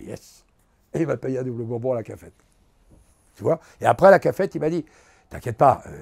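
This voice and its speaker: A gravelly, husky older man with a fairly high voice swings his pitch widely as he tells stories from his acting career. He acts out the voices of the people he talks about, shouting some lines and dropping to a murmur on others, in a relaxed conversational interview. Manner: animated anecdotes, acts out quotes. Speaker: actor interviewee. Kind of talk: casual storytelling interview.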